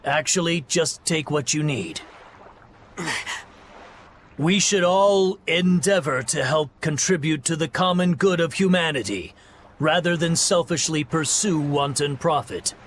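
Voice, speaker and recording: A man's voice: fairly high pitch, measured pace, a bit bright, slight background noise. An actor playing a dazed, oddly selfless male merchant in a game cutscene. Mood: benevolent, vacant. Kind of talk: animated game cutscene acting.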